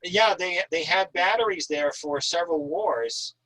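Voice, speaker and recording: A man with a somewhat high voice talks in a casual, enthusiastic style. He is effusive and wordy, stressing his points like an author keen to share his work. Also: a little slow, sharp tone, poor audio. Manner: effusive, talkative, emphatic. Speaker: author, guest at an online reading. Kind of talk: casual enthusiastic chat about writing.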